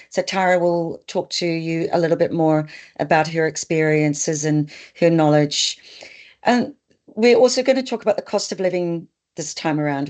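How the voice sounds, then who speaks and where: A woman's voice: fairly low pitch, darkish tone. New Zealand woman hosting a webinar. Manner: friendly, hesitant with fillers. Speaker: migration consultant, webinar host. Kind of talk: webinar presentation.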